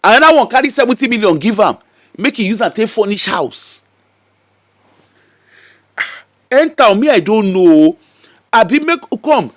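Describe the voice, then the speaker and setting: Nigerian male commentator, high bouncy voice, teasing and rhetorical. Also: dry recording, clean studio sound. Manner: animated, mocking, rhetorical questions. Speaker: social media commentator. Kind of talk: casual commentary in pidgin. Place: UK-based.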